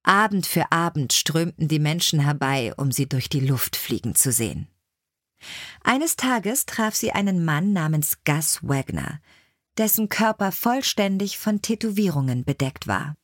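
Female audiobook narrator, low pitch, dry studio sound, scripted storytelling. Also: lively intonation, unhurried, no echo.

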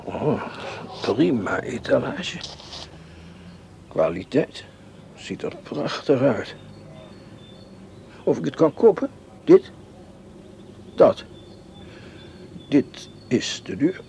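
An actor playing a scheming, greedy, darkly poetic doctor in a radio play, musing deliberately. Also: male voice, medium pitch, big pitch swings, vocal fry, dark tone, a little hiss or hum, exaggerated voice. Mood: brooding, musing. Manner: musing, deliberate, talking to himself. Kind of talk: radio play acting, interior monologue and dialogue.

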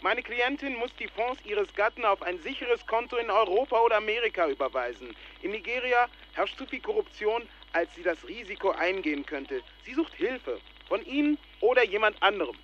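An actor playing a self-assured, formal and insistent Nigerian scam official in a radio play, speaking over the telephone. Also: male voice, high-pitched, animated, brisk, few pauses, a bit bright. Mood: brusque, assured. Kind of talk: radio play acting, formal phone talk. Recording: dull, noisy telephone line.

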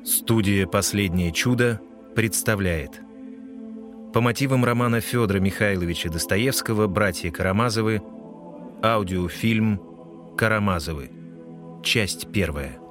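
A man announces the titles of an audio drama from a script. His voice sits fairly low. Clear music plays behind him. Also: measured pace, long pauses.

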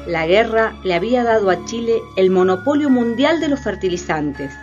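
A woman's voice: medium pitch, animated, normal speed, a little mellow. A woman giving scripted, dramatic narration for a radio play. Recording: dull sound, faint music behind.

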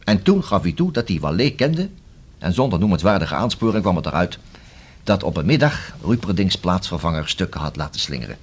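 A police inspector in a radio drama. His voice is very low and somewhat raspy, with animated rises and falls. He is courteous yet blunt, laying out his case deliberately. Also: exaggerated voice. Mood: explaining, intent. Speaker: actor in a radio play. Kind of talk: Dutch radio drama dialogue.

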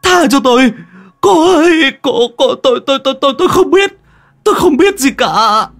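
Brief: male narrator voicing panicked stammering man pleading, loud and strained. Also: extremely high pitch, animated, normal speed, faint music behind, voice pushed up, exaggerated voice. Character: terrified feverish man begging for mercy.

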